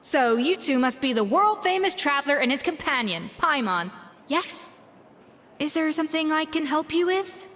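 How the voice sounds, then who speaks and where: A woman's voice: sits high, animated, normal speed, a bit bright, big-room sound, slight background noise. An actress playing a proud young female head chef in a video game, blunt and exacting but secretly caring. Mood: defensive. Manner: crisp, blunt, dramatically indignant.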